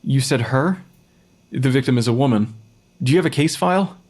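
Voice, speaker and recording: A man's voice: medium pitch, lively intonation, moderate pace, a little mellow, lightly acted. A man acting an awkward young forensic pathologist in an audio drama. His mid-range voice sounds hesitant and flustered, with an apologetic manner. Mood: awkward, uneasy. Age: young adult.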